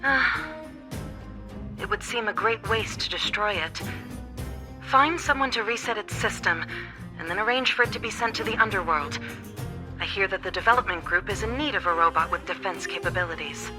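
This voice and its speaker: A commanding female ruler in a video game, played by an actress. Her mid-range voice is formal and measured, with calm authority over clearly audible music. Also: medium pitch, natural voice. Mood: commanding, composed.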